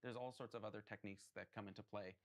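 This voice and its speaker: Male hardware developer, low gravelly voice, measured explanations in an interview. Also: steady tone. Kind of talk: technical interview answers.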